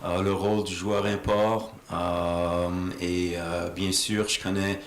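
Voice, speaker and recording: A man interpreting interview answers in a halting way, often searching for his words. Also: low-pitched, little pitch movement. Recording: echoey room with faint noise.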